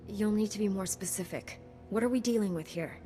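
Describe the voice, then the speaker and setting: A woman voicing a composed, resolute knight in a video game cutscene, measured and confident. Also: medium pitch, dark tone, faint music behind, natural voice. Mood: cool, wry. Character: composed, resolute young female Jedi knight.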